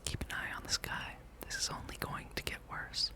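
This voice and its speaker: A person whispering the lines of a wary, serious rebel plotter from a fantasy game, breathy and conspiratorial. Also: normal speed, close to an everyday voice. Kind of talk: whispered read-aloud of fantasy game dialogue.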